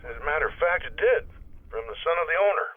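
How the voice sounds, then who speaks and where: A man's voice: on the high side, animated, quick pace, creaky. Formal councilman on tinny phone. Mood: concerned. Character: earnest, formal city councilman reporting trouble. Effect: telephone filter. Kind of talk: radio drama acting. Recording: tinny narrow-band phone line.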